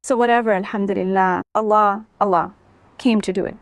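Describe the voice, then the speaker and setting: A woman from Bangladesh telling her life story as an interview guest, in an animated, emphatic manner. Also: medium pitch, a little fast, dry recording. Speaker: interview guest, former sprinter.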